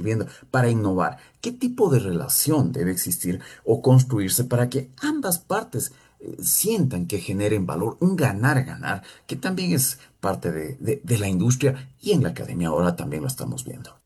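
A male interviewer with a very low, husky voice. He asks long, winding questions that circle around with fillers before he gets to the point. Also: a little mellow. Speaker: interviewer, host.

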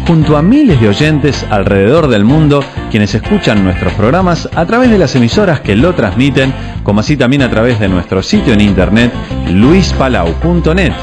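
Animated male radio host over music. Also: mid-range voice. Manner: formal, welcoming announcer delivery. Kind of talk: scripted radio introduction.

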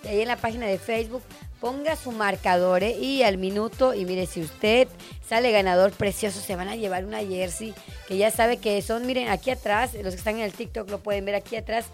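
A woman's voice: medium pitch, fairly quick, faint music behind. A woman co-hosting a radio show, teasing and laughing, calling listeners "bebé". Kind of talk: joking radio banter.

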